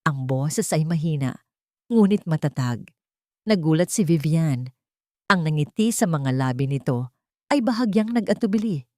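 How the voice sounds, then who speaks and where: A woman narrates a dramatic audio story with steady suspense. Her voice sits on the low side yet moves expressively up and down. The recording is dry and close, with no room around it. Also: normal speed, pauses often, no room sound.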